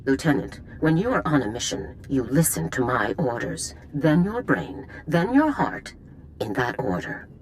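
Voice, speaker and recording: A woman's voice: a bass voice, big pitch swings, measured pace, a little hiss or hum, full character voice. A woman playing a stern army general who gives orders in a clipped, unbending way in a video game cutscene. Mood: scolding. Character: stern female general, cold and commanding.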